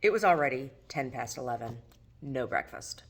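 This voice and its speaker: A woman's voice: very deep voice, lively intonation, moderate pace. A woman narrating her own work of fiction as an audiobook reading. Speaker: author reading own novel aloud.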